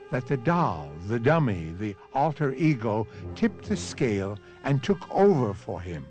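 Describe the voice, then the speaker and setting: A man hosting and narrating a 1970s radio mystery series in a measured, suspenseful, dramatic scripted style. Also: mid-range voice, a little mellow.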